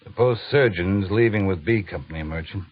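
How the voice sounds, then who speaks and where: An actor playing a stern, exacting cavalry captain with a clipped, commanding manner in an old-time radio western. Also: male voice, sits low, a little fast. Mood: brusque, restrained. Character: stern, exacting male cavalry captain, brusque, grudgingly fair. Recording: muffled old radio broadcast, audible hiss.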